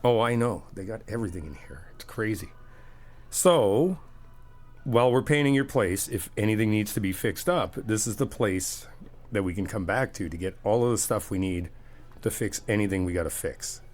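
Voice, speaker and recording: A man's voice: fairly low pitch, animated, normal speed, a little mellow. A man doing a friendly ASMR roleplay, chatty and teasing, reassuring the listener as he helps out. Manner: reassuring, helpful.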